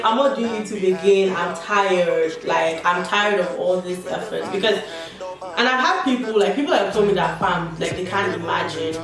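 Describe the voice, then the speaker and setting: A young woman chatting candidly while doing her makeup, with slangy asides. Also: mid-range voice. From Nigeria. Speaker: beauty vlogger. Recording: echoey room, distant mic, background music. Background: background music.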